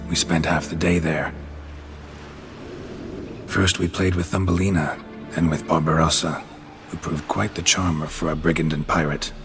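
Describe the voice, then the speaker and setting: A man playing a gruff, wry middle-aged monster hunter in a video game, with a gravelly voice and a terse, dry delivery. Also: extremely low pitch, little pitch movement, normal speed, pauses often. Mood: flat reading aloud. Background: music.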